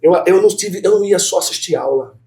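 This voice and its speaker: Fast-talking Brazilian professor, mellow-voiced man. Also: sits high. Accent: Brazilian Portuguese. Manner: animated, talks to students directly. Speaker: guest professor. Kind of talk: conversational interview storytelling.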